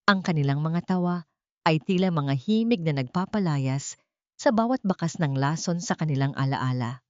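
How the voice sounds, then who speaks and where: Low-voiced woman narrating, dull dry recording. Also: a little mellow, dry recording. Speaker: audiobook narrator. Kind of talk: dramatic scripted story narration.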